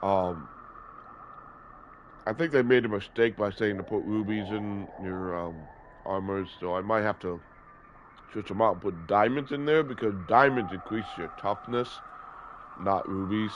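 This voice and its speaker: A man's voice: on the low side, measured pace, pauses often. A man in his early thirties hosting a gaming video, stuffy and sore-throated from a cold, rambling with many fillers and correcting himself. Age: 30s. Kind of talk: casual gameplay commentary. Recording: dull lo-fi audio with background noise.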